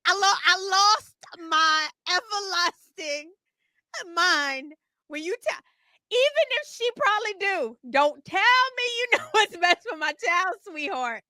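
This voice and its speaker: A woman's voice: very high voice, animated, unhurried, bright, forceful tone, no echo. A woman co-hosting a casual political talk show, sassy and emphatic. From Maryland, United States. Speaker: co-host.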